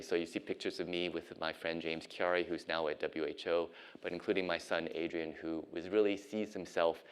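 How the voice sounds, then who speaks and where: A middle-aged American man from Ohio giving an academic lecture as a physician and professor, measured and pausing with frequent ums and uhs. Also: low-pitched, clear, resonant tone. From United States (Ohio).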